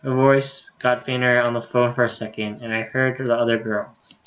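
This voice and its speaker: A man streaming a game, reading its story text aloud in a casual way. His medium-pitched voice comes through slightly dull, lo-fi audio. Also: level tone, moderate pace, a bit bright. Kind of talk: reading visual novel dialogue aloud, casual commentary.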